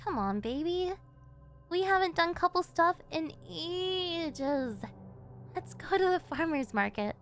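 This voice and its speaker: Woman acting a high-voiced, possessive yandere girlfriend. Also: lively intonation, takes it slowly, a bit bright, soft background music, heavily acted. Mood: doting, wistful. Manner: doting, pleading, swinging into threats. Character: clingy, possessive yandere delinquent girlfriend, sweet but menacing. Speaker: voice actress. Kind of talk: ASMR roleplay acting.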